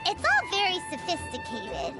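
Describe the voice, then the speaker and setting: Tiny fairy sidekick, very high squeaky childlike voice, lively swooping pitch, cute, naive, excitable, video game acting. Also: female voice, normal speed, exaggerated voice. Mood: chirpy, explaining. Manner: speaks of self in third person, exaggerated cute delivery. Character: small chirpy childlike female fairy companion, naive, excitable. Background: music.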